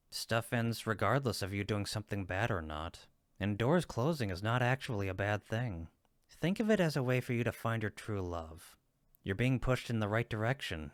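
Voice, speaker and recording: Friendly male cupid roommate, acted by a man for roleplay audio: lower register, teasing yet supportive, emphatic, very dry sound. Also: clean tone, no echo, lightly acted. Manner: playful, reassuring, emphatic. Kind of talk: roleplay audio comfort acting, one-sided conversation.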